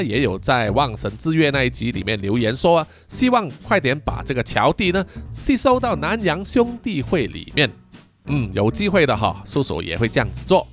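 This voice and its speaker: A man, middle-aged, hosting a podcast in a casual, chatty style, reading out and answering listener comments with frequent sentence-final particles. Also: fairly high pitch. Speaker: podcast host.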